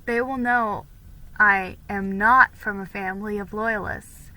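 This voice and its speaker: A woman's voice: medium pitch, steady tone, a little slow, pushed and bright, poor audio. A woman voicing a nervous, polite colonial girl with a hesitant, worried manner in a storybook reading.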